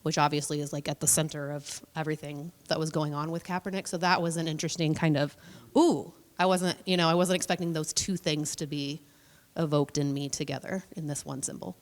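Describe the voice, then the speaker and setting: Woman with low voice, lots of "like", sounds far off and echoey. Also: fairly even intonation, brisk. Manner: chatty, uses "like" a lot. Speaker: discussion participant. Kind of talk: casual group discussion.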